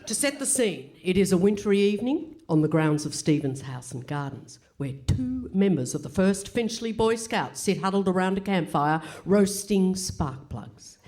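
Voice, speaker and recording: A woman playing a straight-faced announcer, narrating absurd scripted radio comedy in a formal, deadpan manner. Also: medium pitch, moderate pace, hardly any pauses, close to an everyday voice. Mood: mock-solemn. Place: hall stage, live performance.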